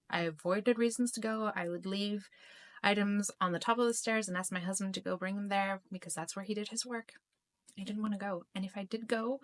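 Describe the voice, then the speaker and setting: A middle-aged woman and host of her own channel, telling a personal story to camera, emotional and halting, with frequent restarts. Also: mid-range voice, a bit bright. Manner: emotional, halting, many restarts and self-interruptions. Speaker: spiritual YouTube channel host.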